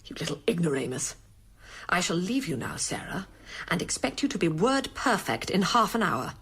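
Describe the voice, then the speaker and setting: An actress playing an imperious middle-aged college headmistress in a radio drama, with a husky, haughty, clipped delivery. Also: female voice, lowish voice, big pitch swings, normal speed, a bit bright, exaggerated voice. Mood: scornful, threatening.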